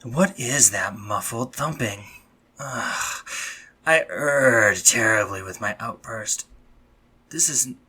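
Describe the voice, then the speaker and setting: Man acting anxious, breathy spider-monster medic. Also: mid-range voice, sharp tone, full character voice. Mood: tense, self-scolding. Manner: formal, stammering, halting when flustered. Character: anxious, cool-headed male spider-monster medic, awkwardly tender and dominant. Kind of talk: scripted romantic audio roleplay, intimate monologue.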